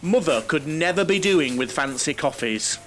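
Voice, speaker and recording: An actor playing a naive, lonely bachelor who rambles and overshares in an audio comedy. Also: male voice, on the high side, lively intonation, normal speed, fairly bright tone, slight background noise. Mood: reminiscing, rambling. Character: chatty, naive, lonely middle-aged bachelor, mother-obsessed coach passenger. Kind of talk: audio drama comedy acting.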